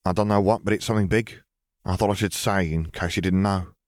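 A man's voice: sits low, fairly even intonation, brisk, darkish tone, no room sound, clean studio sound, close to an everyday voice. A man voicing a cool young male wizard in an audiobook, understated, controlled and threatening. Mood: guarded, teasing.

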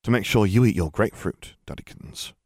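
A man's voice: lowish voice, a little fast, a little mellow, close to an everyday voice. A man reading the lines of a cocky teenage boy wizard in an audiobook, dry and sarcastic.

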